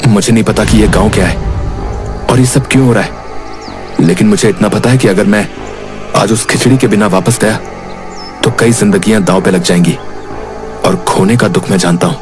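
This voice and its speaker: A man's voice: on the low side, moderate pace, pauses often, natural voice. Man acting an anxious, earnest thin young villager. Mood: earnest, resolute. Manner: exclaiming and muttering to himself, imploring. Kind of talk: animated Hindi moral story voice acting. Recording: loud music behind the voice. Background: music.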